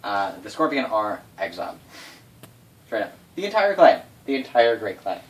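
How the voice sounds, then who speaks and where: A man's voice: lowish voice, normal speed. A man recounting game lore to his players in a casual, off-the-cuff way, swearing freely and stressing words for drama. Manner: sweary, animated, dramatic emphasis. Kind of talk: casual off-the-cuff history telling. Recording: distant mic, noisy room ambience.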